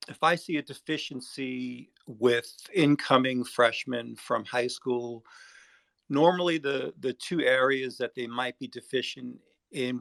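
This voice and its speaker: Man, middle-aged, New York accent, creaky voice, dry close sound, measured academic advisor on a panel. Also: medium pitch, a bit bright, no room sound. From United States, New York area. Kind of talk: unscripted panel discussion.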